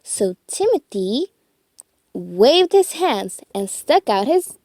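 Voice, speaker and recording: A woman reading a children's storybook aloud with expressive, deliberate phrasing and dramatic emphasis. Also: a little high, dry recording, studio quality.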